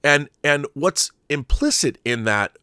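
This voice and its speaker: A man's voice: a little high, lively intonation, takes it slowly, pushed and bright, smooth, dry recording, studio quality. A middle-aged man hosting an unscripted film commentary show, talking in an emphatic, digressive, opinionated fan style.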